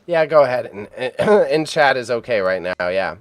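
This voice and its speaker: A man's voice: medium pitch, animated, moderate pace, no room sound. A Canadian man in his thirties, livestreaming from a room at home, muttering to himself as he edits photos and then chatting casually with viewers. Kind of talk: casual live-stream chat.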